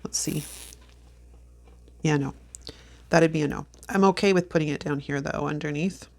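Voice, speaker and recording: A woman's voice: sits low, moderate pace, creaky, darkish tone. A woman in her fifties, sniffly from allergies, chatting casually to viewers while she decorates a planner, talking to herself and laughing often. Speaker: planner vlogger. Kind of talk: casual plan-with-me chat.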